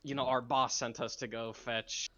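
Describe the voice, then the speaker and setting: A man's voice: mid-range voice, flat delivery, moderate pace, pushed and bright, muffled recording, slight background noise, natural voice. A man playing a casual, bluffing adventurer. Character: smooth-talking adventurer bluffing through introductions.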